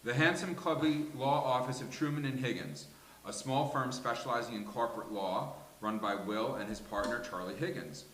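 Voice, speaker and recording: A man's voice: mid-range voice, moderate pace, fairly bright tone. A man reading stage directions as scripted narration at a live table read, in a roomy space with hiss.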